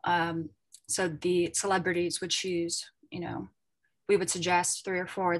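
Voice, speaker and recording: A woman's voice: fairly low pitch, a bit bright. A woman answering a question on a panel, explaining informally and thinking aloud as she goes. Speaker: editor and panel guest.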